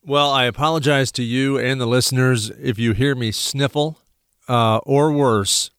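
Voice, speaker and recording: A male radio host with a stuffy, stopped-up nose, talking in a loose, rambling way full of "uh"s and restarts. His voice sits at an ordinary middle pitch. The studio sound is dry and close, with no room echo. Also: fairly even intonation, measured pace, fairly bright tone, smooth. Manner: rambling, many fillers and restarts. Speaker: radio talk show host. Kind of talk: casual radio talk.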